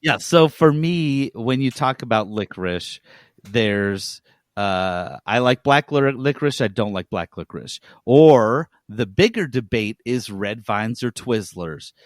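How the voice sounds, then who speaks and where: A man co-hosting a podcast, telling things in an animated, emphatic way amid casual banter. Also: mid-range voice, a little slow, dry recording, studio quality. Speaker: podcast co-host.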